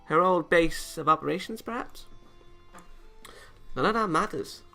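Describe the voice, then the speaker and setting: A determined young angel girl, as acted by a man, speaks in a raised, bright voice. She is brisk, matter-of-fact and teasing, in visual novel style, with faint music underneath. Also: a little high, vocal fry, voice pushed up, heavily acted. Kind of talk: visual novel character read-aloud. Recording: music behind the voice.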